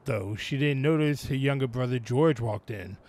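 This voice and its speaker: A man with a creaky voice reading a story aloud in an informal, dramatic way, stumbling over words now and then. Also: medium pitch, moderate pace, crowd noise. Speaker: host reading fan fiction over gameplay. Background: children's video game dialogue playing.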